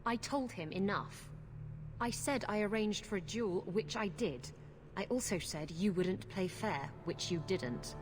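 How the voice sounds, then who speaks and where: An actress playing a brash, flirtatious pirate captain in a video game cutscene, teasing and sardonic. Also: female voice, medium pitch, measured pace, background noise, close to an everyday voice. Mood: defiant.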